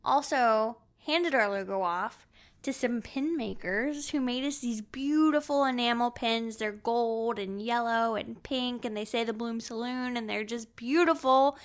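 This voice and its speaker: A woman co-hosting a podcast in casual book chat, with a gushing, excitable manner. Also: a little high, a little slow. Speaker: podcast co-host.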